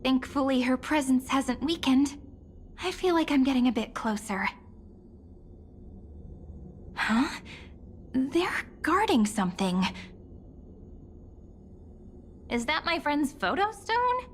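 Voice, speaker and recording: A woman voicing a gentle, curious young game heroine who muses softly and with playful politeness to herself. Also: high voice, big pitch swings, slow, pauses often, slight background noise, exaggerated voice. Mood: curious, musing. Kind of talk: video game voice acting, musing to herself.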